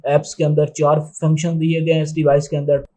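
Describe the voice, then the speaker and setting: A man with a slightly higher-pitched voice walks through a product demonstration. His intonation stays flat and even. He explains each step plainly and methodically, in the unscripted manner of an unboxing reviewer. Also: brisk. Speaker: tech reviewer presenting a phone unboxing.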